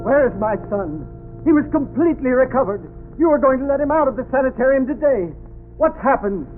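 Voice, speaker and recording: A man's voice: extremely high pitch, expressive, fairly quick, over music. An actor playing a grief-stricken immigrant father and husband, crying out in a 1930s radio drama. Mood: pleading. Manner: anguished, crying out. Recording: tinny old radio broadcast.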